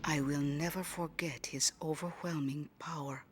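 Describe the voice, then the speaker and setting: Wistful woman ex-pilot, often hushed, acted. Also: low-pitched, steady tone, moderate pace, slight background noise, lightly acted. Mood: wistful, haunted. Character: grieving former female fighter pilot, reflective and resigned. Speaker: former wingman recounting the war. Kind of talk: dramatic documentary-style testimony.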